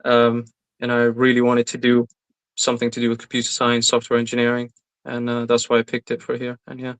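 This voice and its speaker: A man's voice: medium pitch, monotone, brisk, clean tone. A young man from Iraq, a recent graduate, answering interview questions in a casual, hesitant way with many fillers. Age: young adult.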